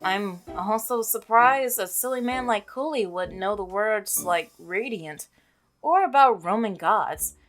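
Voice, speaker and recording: A woman's voice: mid-range voice, a little slow, soft background music. A woman hosting a visual novel let's play, reading aloud and reacting expressively. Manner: expressive, reactive, gasps and giggles. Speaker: gaming channel host.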